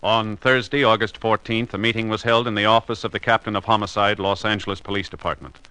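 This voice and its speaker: A man working as a formal 1950s radio announcer, measured and official. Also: on the low side, level tone, sharp tone, dry recording, faint music behind. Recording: old radio broadcast recording.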